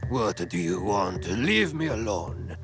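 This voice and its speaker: An actor playing a bitter, drunken old fallen samurai lord in a video game, self-pitying and dramatic. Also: male voice, on the low side, very animated, slow. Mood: hostile. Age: older. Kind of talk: video game cutscene acting. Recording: loud music behind the voice. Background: loud music.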